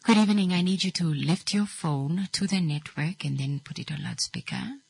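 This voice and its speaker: A woman's voice: lowish voice, moderate pace, vocal fry, darkish tone, husky, no room sound. A woman, hosting a reflective motivational talk and taking calls, often checking in with "right?". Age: 30s.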